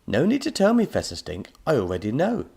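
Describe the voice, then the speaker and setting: A man's voice: medium pitch, wildly swooping pitch, brisk, vocal fry, a little mellow, husky, pitched above the performer's own voice, exaggerated voice. Man doing a clumsy, childlike storybook robot. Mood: cheerful, dim. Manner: simple, apologetic, mispronounces words. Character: clumsy, childlike, affectionate turnip-headed robot.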